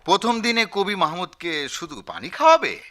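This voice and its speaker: An actor playing an effusive, grandiloquent newspaper editor in a comic radio drama, speaking in florid, wheedling phrases. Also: male voice, sits high, huge pitch swings, normal speed, bright, forceful tone, full character voice. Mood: businesslike, gracious. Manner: pompous, florid, wheedling, with hesitant filler sounds.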